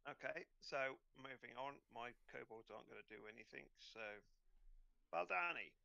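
A man's voice: medium pitch, expressive, normal speed, vocal fry, sharp tone, no echo, lo-fi sound. A man running an online tabletop game as game master, talking players through their turns.

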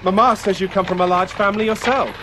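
Eager young brother for radio drama, male actor: high, fast, earnest, proud. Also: steady tone, natural voice. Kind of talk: 1980s radio play acting. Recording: noisy restored radio broadcast tape.

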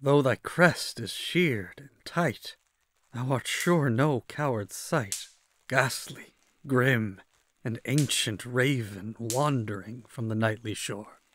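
Haunted, slow-speaking grieving man. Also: medium pitch, a bit bright, no echo. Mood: awed, hushed. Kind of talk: gothic audio drama acting of verse.

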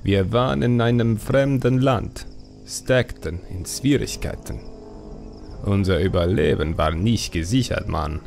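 A cheeky young troll acted out by a man talks in a playful, teasing way. His voice has a gravelly creak and sits over faint music, as in a fantasy audiobook. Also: on the low side, measured pace, a little mellow. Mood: reflective. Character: young male troll, cheeky, upbeat would-be witch doctor. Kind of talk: audiobook character acting.

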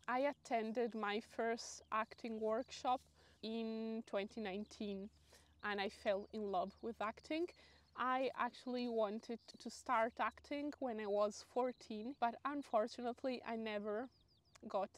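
A woman's voice: a little high, steady tone, measured pace. Italian-accented woman chatting outdoors. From Italy, Lake Como area. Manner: chatty, rambling with fillers and restarts. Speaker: vlogger. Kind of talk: casual Q&A chat to camera. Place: grassy hilltop outdoors, sunny. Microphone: clip-on lapel mic.

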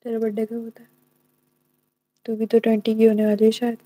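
A woman's voice: on the high side, monotone, brisk, dark tone, dry recording, low-quality recording. A young woman co-hosting a home live stream, teasing her friend in a matter-of-fact way. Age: young adult. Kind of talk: casual live chat. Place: indoors at home, bright room. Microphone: wired earphone mic on a phone.

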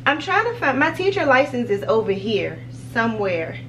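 American woman, higher-pitched voice, casual vlog chat, small room, recorded a little far from the mic. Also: big pitch swings, moderate pace, fairly bright tone. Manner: informal, chatty. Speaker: home organization vlogger. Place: small home office room, indoors. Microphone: camera a few feet away. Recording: distant camera mic with background noise.

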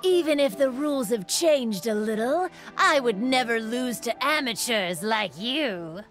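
A woman voicing a haughty, aloof female spirit in a video game, speaking in a cold, deliberate manner. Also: fairly high pitch, lively intonation, soft background music. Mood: smug. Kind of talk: video game character acting.

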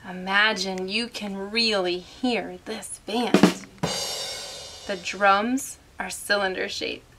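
A woman's voice: medium pitch, fairly even intonation, takes it slowly, loud music behind the voice. A woman reading aloud to young children as host, instructing and prompting them with questions.

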